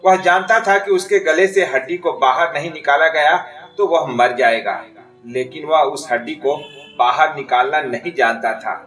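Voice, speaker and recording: Guy narrating a kids story, voice a bit high, echoey and off the mic with music under it. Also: normal speed, fairly bright tone. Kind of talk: scripted children's story narration. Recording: distant, echoey, music behind.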